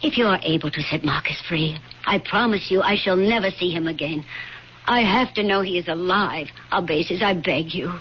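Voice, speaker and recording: Radio drama casting: woman playing impassioned young Roman noblewoman, grief-stricken and pleading, lower register, muffled noisy old broadcast. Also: normal speed, natural voice. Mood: desperate pleading. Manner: earnest, pleading, formal diction. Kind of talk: classic radio drama acting.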